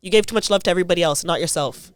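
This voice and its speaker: A woman's voice: fairly low pitch, very fast, no room sound. A young woman, speaking as an interview guest. She talks in a thoughtful, casual way and often says "like.". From Toronto, Canada. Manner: thoughtful, frequent "like" fillers.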